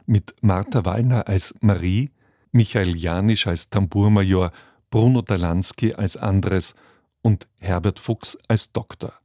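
A man's voice: low-pitched, warm tone, no room sound, clean studio sound. A man reading a scripted programme announcement as a radio announcer.

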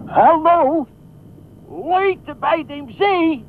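A woman in a radio play acting a timid bather at the seaside, speaking in halting, questioning phrases. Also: very high-pitched, expressive, exaggerated voice. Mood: hesitant, questioning. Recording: tinny band-limited radio play, faint noise.

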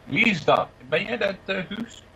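A man playing a hesitant, rambling radio music host, chatting informally and haltingly as he presents live. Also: sits high, animated, sharp tone, heavily acted. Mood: puzzled, hesitant. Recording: clear background noise.

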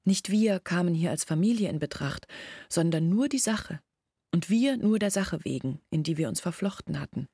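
An actress playing a sorrowful young village woman in a radio play, speaking in a hushed, deliberate, brooding way. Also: lowish voice, expressive, slightly rough, no echo, studio quality. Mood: grave, explaining. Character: sorrowful young village woman recounting her family's disgrace. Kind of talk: radio play monologue, intimate recounting.

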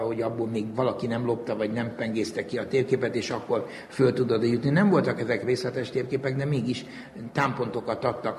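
A man explaining and reminiscing in long, detailed, measured sentences, like an interviewee telling history. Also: medium pitch, darkish tone. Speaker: interviewee recalling the history of maps. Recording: reverberant hall with faint background noise.

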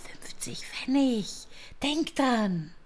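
An actress in a German radio drama playing a middle-aged, distraught and confused mother who speaks in a halting, dazed way. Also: on the high side, animated, whispered, exaggerated voice. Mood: hushed, tender. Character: distraught, confused mother fearing for her soldier son.

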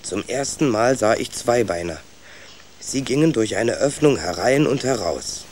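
Young rat hero and storyteller, played by a man: curious, stubborn, medium-pitched, children's radio drama with ambient effects behind. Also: lively intonation, a little slow, a little mellow, slight background noise, lightly acted. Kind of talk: first-person storytelling in children's radio play.

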